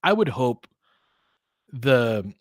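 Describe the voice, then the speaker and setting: A man gives informal play-by-play and analysis of a basketball game in a mid-pitched voice. He thinks out loud in a conversational way, like a streamer chatting through the action. The sound is dry, with very little room in it. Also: big pitch swings, extremely slow, no echo. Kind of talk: casual live commentary mixed with analysis and chat.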